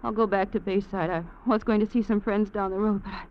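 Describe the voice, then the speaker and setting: A woman playing a shaken, evasive woman in a 1940s radio drama, speaking in a hesitant, halting way. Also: mid-range voice, fairly even intonation, close to an everyday voice. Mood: dazed, guarded. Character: shaken, evasive noir woman hiding something. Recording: noisy, muffled vintage radio recording.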